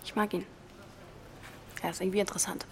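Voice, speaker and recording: A woman's voice: mid-range voice, little pitch movement, fast talker, darkish tone, slight background noise, natural voice. An actress playing a cool, shrewd woman in her twenties in a radio drama, direct and challenging in natural conversation. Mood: self-assured. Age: 20s.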